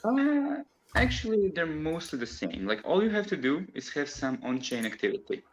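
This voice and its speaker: A man's voice: on the high side, sing-song, moderate pace, a little hiss or hum, poor audio. A man from Croatia, a guest on a casual online chat, talking in a chatty way and laughing often as he explains.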